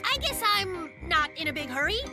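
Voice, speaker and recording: A woman voicing an excitable, boastful little brown bear cub boy in a kids' cartoon, with animated, cocky bravado. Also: very high-pitched, a little fast. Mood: impatient, reassuring. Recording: music behind the voice. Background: cartoon music.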